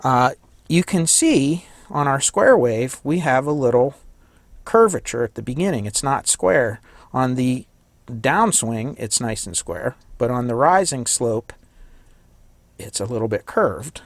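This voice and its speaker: A man with a slightly hoarse voice giving a casual hands-on electronics tutorial, thinking aloud and correcting himself as he works. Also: mid-range voice, lively intonation, measured pace, dry recording. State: slightly hoarse, losing his voice. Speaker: electronics hobbyist demonstrating a kit.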